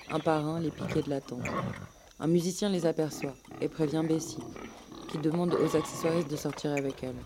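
A woman narrating a scripted radio documentary story in measured, literary phrasing. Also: low-pitched, noisy background.

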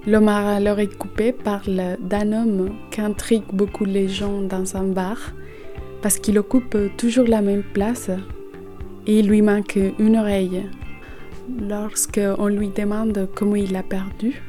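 Even-toned woman radio host over music. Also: medium pitch, unhurried. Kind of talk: radio presentation. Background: music behind the voice.

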